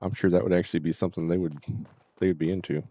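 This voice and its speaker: A woman chatting casually and haltingly on a live game stream, in an easygoing way. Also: very deep voice, steady tone, warm tone. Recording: muffled mic with game music behind. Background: video game music and dialogue.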